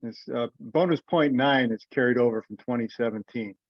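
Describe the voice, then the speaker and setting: A man's voice: mid-range voice, normal speed, no echo. A man leading an informal discussion on a remote conference call. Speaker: meeting leader. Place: remote teleconference. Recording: muffled conference call audio.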